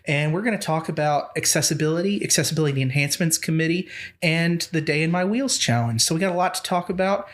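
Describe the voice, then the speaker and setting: Higher-voiced man hosting a podcast. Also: fast talker. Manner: friendly, easygoing host. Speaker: podcast host. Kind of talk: casual podcast chat.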